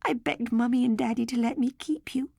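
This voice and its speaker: A woman's voice: fairly even intonation, a little fast, vocal fry, mellow, husky, no echo, studio quality. A woman voicing a distraught, defiant little schoolgirl in an audiobook story, pleading and emotional. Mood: tearful. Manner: pleading, emotional, high-pitched outbursts.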